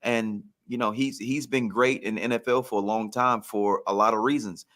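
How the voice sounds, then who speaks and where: A man's voice: lowish voice, steady tone, moderate pace, fairly bright tone. A man in casual sports talk, telling stories like a former player and repeating phrases for emphasis. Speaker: former pro football player, guest storyteller.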